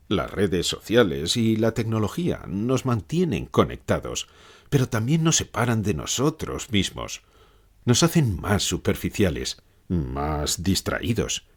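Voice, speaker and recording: A man's voice: low voice, full character voice. A man playing a gloomy old philosopher who gives grave, pessimistic answers in a scripted interview.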